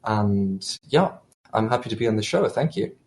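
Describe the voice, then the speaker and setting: A man with a low voice gives short, polite answers as a guest. He speaks plainly about his work. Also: sing-song, moderate pace, darkish tone. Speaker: game developer guest. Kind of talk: casual interview answers.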